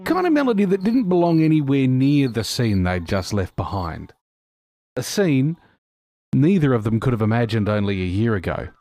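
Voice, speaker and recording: A man narrating a scripted audio drama in a deliberate, dramatic manner. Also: mid-range voice, big pitch swings, mellow.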